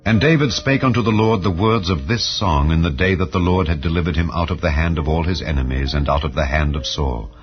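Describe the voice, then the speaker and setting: A man narrating scripture in a solemn, dramatized style, with measured, declamatory delivery of archaic English. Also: low-pitched. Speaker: audiobook Bible narrator. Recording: dull, lo-fi audio under music. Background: background music.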